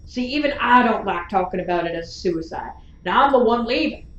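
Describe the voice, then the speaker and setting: A woman playing a sharp-tongued, sardonic gunslinger in a science fiction audio drama, needling and wry. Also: fairly high pitch, expressive, brisk, echoey room, dull audio. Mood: needling, challenging. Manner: needling, wry, rhetorical questioning.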